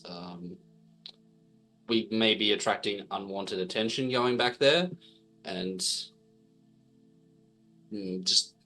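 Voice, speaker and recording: A man playing a tabletop game, talking things through in a measured, tentative way. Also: fairly low pitch, bright, forceful tone, low-quality recording. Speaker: player in a tabletop roleplaying game. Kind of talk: casual in-character discussion.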